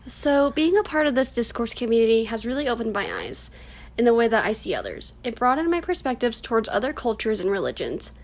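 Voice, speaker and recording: A woman's voice: a little high, big pitch swings, a little fast. A woman in her early twenties, a native Cantonese speaker raised in the United States, earnestly reading prepared answers in a class project interview. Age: 20s. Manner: earnest, scripted, reflective.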